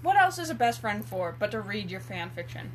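A woman's voice: mid-range voice, big pitch swings, a little fast, slight background noise. A young woman in casual comic banter, teasing and sarcastic, reacting to a friend's story. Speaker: co-host, best friend of the author.

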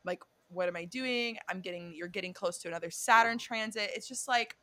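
A woman's voice: medium pitch, a little fast, pushed and bright, dry recording. Chatty, swearing young woman. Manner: chatty, swears freely, drifts off and self-corrects. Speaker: podcast co-host. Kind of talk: casual chat about a reality show and astrology.

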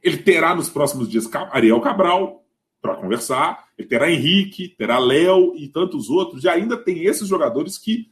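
A Brazilian man hosting a football live stream, his voice on the high side and swinging all over as he talks. He is animated, restarting phrases and doubling words as he thinks aloud. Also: normal speed. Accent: Brazilian Portuguese. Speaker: host.

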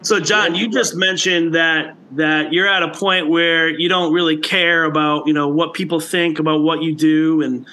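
Bright, higher-voiced male interviewer. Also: steady tone, normal speed, hardly any pauses, poor audio. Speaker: podcast host, interviewer. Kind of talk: conversational interviewing.

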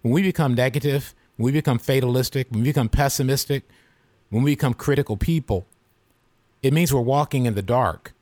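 A man's voice: medium pitch, a little fast, darkish tone, dry recording. A man in his fifties hosting a podcast, speaking earnestly and reflectively in a devotional, preacher-like way. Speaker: podcast host.

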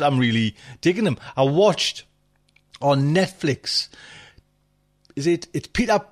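Male podcast host, home mic, off-the-cuff chatter, slightly high voice. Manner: chatty, rambling, lots of fillers and restarts. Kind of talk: casual rambling chat.

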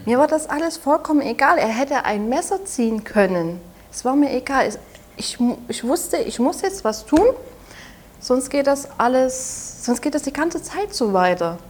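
Soft-spoken Saxon woman in her twenties. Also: sits high, animated. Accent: Saxon German. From Saxony, Germany. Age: 20s. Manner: halting, soft-spoken, with frequent restarts. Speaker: interview guest. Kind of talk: personal testimony in an interview.

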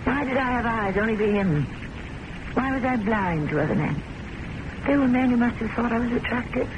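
Casting: actress to play obsessive lovelorn woman, high voice swinging widely, theatrical vintage radio drama style. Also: animated, normal speed, highs cut off, close to an everyday voice. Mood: brooding. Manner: intense, theatrical, emphatic. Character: obsessive, lovelorn, unhinged former secretary confessing to murder. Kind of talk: old-time radio drama acting. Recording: noisy old radio recording.

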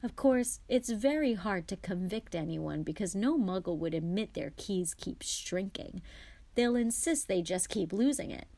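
A man's voice: high voice, lively intonation, measured pace, creaky, warm tone, heavily acted. A woman voicing an eager, easily flustered middle-aged wizard father with a hesitant, guilty manner, as in an audiobook. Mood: amused, weary. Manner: stammering, hesitant when cornered. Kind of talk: audiobook character voice.

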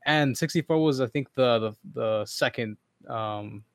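A man's voice: medium pitch, measured pace, no room sound. Easygoing male podcast co-host. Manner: easygoing, laughs often, asks curious questions. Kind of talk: casual chat.

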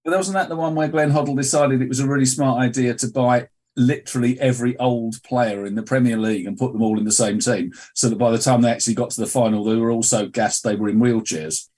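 A man's voice: medium pitch, fairly even intonation, fast talker, few pauses, a little mellow, slightly rough. Dry, sarcastic man in his sixties. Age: 60s. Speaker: co-host. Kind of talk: casual chat.

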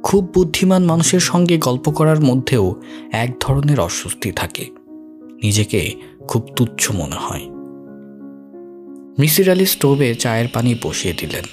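A man's voice: mid-range voice, big pitch swings, moderate pace, long pauses, a little mellow, soft background music. A man reading a scripted first-person story as an audio story narrator.

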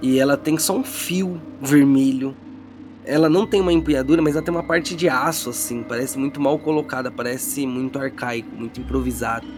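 Brazilian male game master over clear music. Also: mid-range voice, a little fast, darkish tone. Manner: colloquial, descriptive, checks in with players. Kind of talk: casual tabletop game narration.

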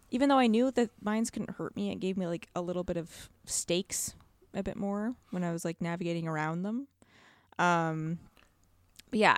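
Young woman, podcast guest. Lively, expressive intonation, conversational and wandering, dry close sound. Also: medium pitch, dry recording. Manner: animated, rambling, frequent "like". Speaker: podcast guest discussing a video game. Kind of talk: casual chat.

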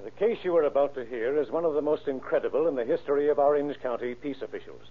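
A man giving a formal, stern scripted address as a police chief on 1930s radio. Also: mid-range voice. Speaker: police chief, guest speaker. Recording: tinny old radio recording.

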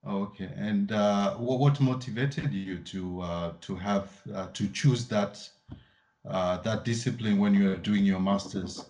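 A man's voice: lowish voice, a little slow, a little mellow. A man from Tanzania hosting a podcast interview, asking questions hesitantly with many filler sounds. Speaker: podcast host. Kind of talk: interview conversation.

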